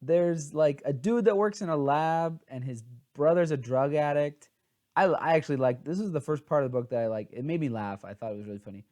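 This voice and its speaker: A man's voice: fairly high pitch, brisk, a little mellow. A man chatting casually as he hosts a book review, informal and a bit scattered and hesitant, sounding tired.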